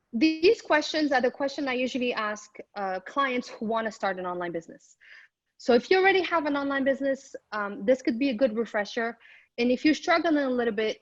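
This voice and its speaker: A woman's voice: on the high side, no room sound, highs cut off. A middle-aged woman from Morocco giving an upbeat, chatty business presentation remotely, with frequent ums and restarts. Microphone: remote call microphone.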